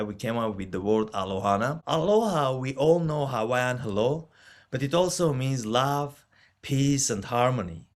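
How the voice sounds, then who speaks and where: A man who works as a financial advisor, a guest on a podcast, explaining things in an earnest, careful way. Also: medium pitch. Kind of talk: conversational interview.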